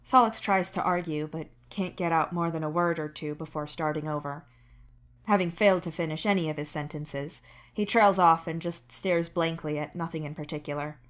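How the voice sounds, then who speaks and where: A woman's voice: low voice, moderate pace. A woman reading scripted fiction aloud as the narrator. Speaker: podfic narrator and author.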